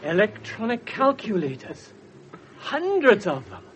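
An actor playing an earnest, deferential police constable in a 1970s radio drama, dutifully reporting. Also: male voice, sits high, wildly swooping pitch, unhurried, heavily acted. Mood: surprised. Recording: dull 1970s radio broadcast, faint noise.

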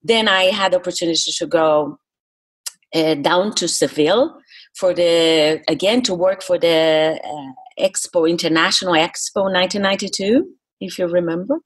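A middle-aged Brazilian woman speaks in a fairly low, husky voice with a Portuguese-speaker's accent. She talks as a podcast guest, recounting experiences conversationally and explaining her points with emphasis. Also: moderate pace. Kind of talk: conversational interview storytelling.

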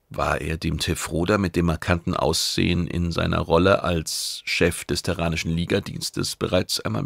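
Deep, gravelly male audiobook narrator. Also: dry recording, clean studio sound. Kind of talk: scripted narration of a science fiction novel.

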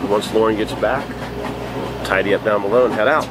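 Man, 40s, deep voice, relaxed casual vlog talk, sailboat cabin, handheld camera, faint background noise. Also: fairly quick. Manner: relaxed, explaining plans. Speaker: sailing vlogger.

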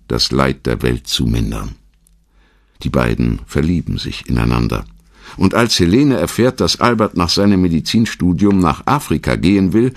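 A man reading a scripted documentary narration in a measured, dramatic storytelling manner. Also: a bass voice, gravelly, no echo, clean studio sound.

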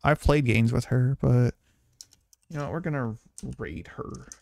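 A man's voice: mid-range voice, unhurried, gravelly, darkish tone. A man chatting casually to viewers as a game streamer, rambling along and swearing now and then. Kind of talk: casual livestream chat to viewers.

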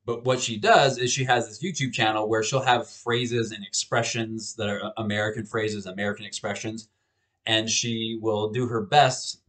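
A man's voice: fairly low pitch, fairly even intonation, normal speed, fairly bright tone, low-quality recording. A man in his thirties giving a recorded lecture from a small home office on a webcam, talking casually with frequent restarts. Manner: casual and conversational, with frequent restarts and repeated words. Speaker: professor.

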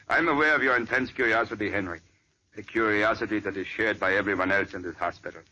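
An actor playing a cold, secretive older surgeon in 1940s radio acting style, commanding and sinister. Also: male voice, on the low side, fairly quick, sharp tone, poor audio. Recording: vintage radio recording with faint hiss.

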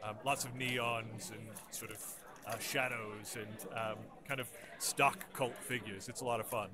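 A man's voice: lowish voice, fairly even intonation, measured pace. A man, a comic artist, talking casually about his new comic at a convention, hesitant and searching for words. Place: convention floor. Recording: noisy convention crowd behind.

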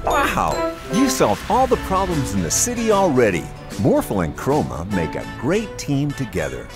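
A woman's voice: extremely low pitch, wildly swooping pitch, moderate pace, exaggerated voice. A woman voicing a friendly, encouraging grown-up in a cartoon. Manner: gentle, encouraging. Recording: cartoon soundtrack with loud music.

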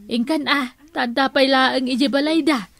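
A woman's voice: high-pitched, normal speed, a bit bright, slight background noise. An actress playing a plain-spoken, gossipy village neighbour woman in a radio comedy drama. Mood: matter-of-fact.

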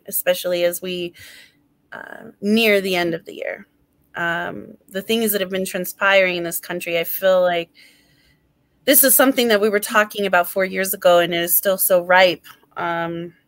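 A woman hosts an online poetry chat over a video call. Her voice is bright and forward, and it sounds dry and close. She talks in a measured way and leans on fillers. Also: fairly low pitch, no echo. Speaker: host. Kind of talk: casual chat.

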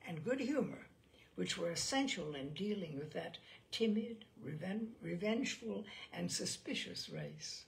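Expressive woman narrator with a creaky edge. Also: medium pitch. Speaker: storyteller reading fiction aloud. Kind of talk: literary audiobook narration.

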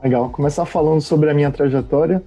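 Dark-voiced Bahian man, relaxed guest. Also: fairly high pitch, fast talker, highs cut off, soft background music. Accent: Bahian Portuguese. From Bahia, Brazil. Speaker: startup co-founder guest. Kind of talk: casual interview talk.

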